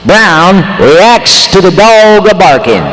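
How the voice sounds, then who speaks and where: A man reads stage directions as narrator of a live show. His voice is high and lively, with unhurried pacing. It rings in a noisy convention hall. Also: music in the background. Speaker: moderator and narrator.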